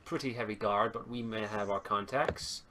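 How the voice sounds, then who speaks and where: A man playing a secretive, terse informant in a tabletop game, speaking in a clipped, portentous, deliberate way. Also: lowish voice, fairly even intonation, pushed and bright, a little hiss or hum. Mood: grave. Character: secretive, terse, stern male informant briefing monster hunters.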